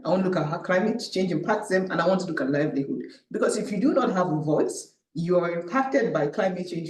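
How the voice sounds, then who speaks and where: Animated woman in echoey room. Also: lowish voice. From Nigeria. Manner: animated storytelling, acts out her own thoughts, tags lines with "right?". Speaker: panel speaker. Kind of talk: personal talk at a public event. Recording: echoey room, distant mic.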